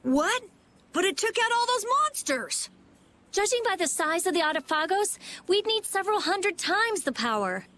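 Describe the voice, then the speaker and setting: Excitable, high-voiced young girl scholar. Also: normal speed, heavily acted. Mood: shocked, indignant. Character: blunt, excitable young girl scholar explaining magical formulas. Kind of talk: English dub of anime role-playing game.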